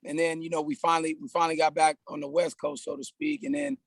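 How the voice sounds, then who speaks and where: A relaxed man in his thirties with a gravelly edge and a slightly high pitch, chatting as a podcast guest. He talks loosely, restarts his sentences and drops in "man" as he goes. The sound is dry and close. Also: fast talker, dry recording. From California, US. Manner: laid-back, frequent "man" and restarts. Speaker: podcast guest, personal trainer. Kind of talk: casual conversational interview.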